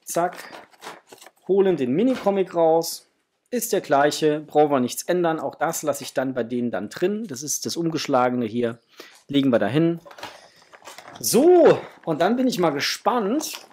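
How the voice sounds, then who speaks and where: A man's voice: fairly high pitch, sing-song, unhurried, darkish tone, a little hiss or hum. A man in his forties chatting casually and enthusiastically to viewers as he presents an unboxing indoors. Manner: enthusiastic, addresses viewers as friends. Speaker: toy unboxing vlogger. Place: indoors, small room with framed posters.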